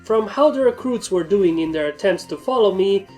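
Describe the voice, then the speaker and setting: A woman reads a story as its narrator, in scripted narration at a medium pitch. Music runs clearly behind her voice. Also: animated, moderate pace, warm tone. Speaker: fan fiction reader.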